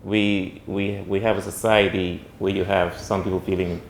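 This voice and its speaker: A man's voice: deep voice, little pitch movement, normal speed. A man hosting an online interview show, introducing his guest and putting questions in a conversational way. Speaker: host, interviewer. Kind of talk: interview on a YouTube channel.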